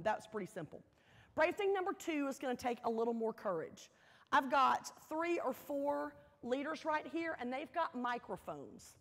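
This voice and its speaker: High, animated woman addressing a hall. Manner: encouraging, direct, asks questions of the crowd. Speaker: host, speaker leading an audience. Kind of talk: motivational event address, prompting audience to speak. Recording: echoey hall with audience noise.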